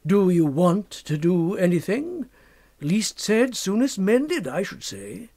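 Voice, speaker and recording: A man's voice: high voice, sing-song, slow pace, a little mellow, heavily acted. A man voicing an unscrupulous, self-assured young doctor in a storybook, offhand and worldly as he persuades. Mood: dismissive.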